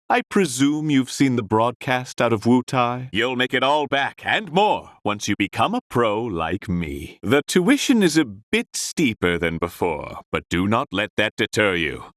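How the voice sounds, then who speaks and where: An actor playing a theatrical swindler who tutors others in deception in a video game, florid, grandiose and ingratiating. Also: male voice, mid-range voice, sing-song, measured pace, hardly any pauses, a bit bright, no room sound, studio quality. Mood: grandiose, sly. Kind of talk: scripted video game dialogue.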